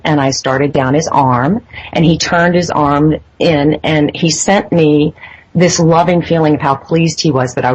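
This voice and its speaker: A woman's voice: low-pitched, a little mellow, slightly rough, rough recording. A woman as an interview guest, recounting a personal experience in a hesitant way with many fillers and restarts. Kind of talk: phone interview, personal account. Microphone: phone line.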